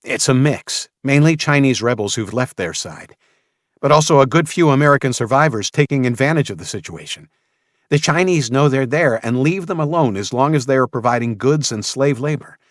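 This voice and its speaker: A man's voice: mid-range voice, animated, normal speed, dry recording, studio quality, pitched above the performer's own voice, lightly acted. A man voicing a tense, impatient armed father in an audiobook, speaking with urgent insistence. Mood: insistent, explaining.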